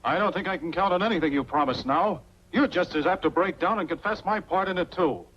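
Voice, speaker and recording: A man's voice: sits high, brisk, a bit bright, a little hiss or hum. A male actor playing a bitter, desperate accomplice with a curt, accusing manner in a 1940s film noir. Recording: dull, band-limited 1940s film soundtrack.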